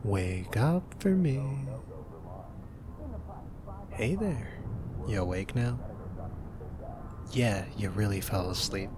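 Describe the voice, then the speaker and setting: Young man, low pitch, playful teasing, gentle and soft-spoken, steady hiss behind. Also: slow pace. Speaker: ASMR roleplay boyfriend. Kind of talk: soft-spoken ASMR roleplay.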